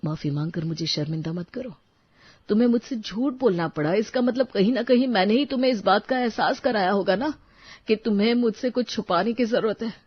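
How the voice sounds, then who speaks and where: An actor voicing a remorseful, supportive husband speaking earnestly in an animated moral story. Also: male voice, sits high, fairly quick, slightly rough, no echo, lo-fi sound. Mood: earnest, apologetic.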